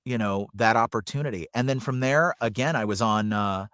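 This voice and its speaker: A man's voice: lowish voice, brisk, fairly bright tone, clean tone, no room sound, studio quality. A middle-aged man speaking earnestly and conversationally as a guest in a podcast interview, a working voice actor and coach.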